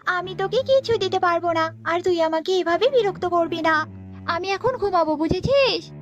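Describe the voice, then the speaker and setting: Woman as very high-voiced, haughty talking cartoon house. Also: normal speed, muffled recording, over music. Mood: scornful. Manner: haughty, scolding, insulting. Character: arrogant, greedy talking papaya house, scornful and bossy. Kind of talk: children's cartoon dubbing.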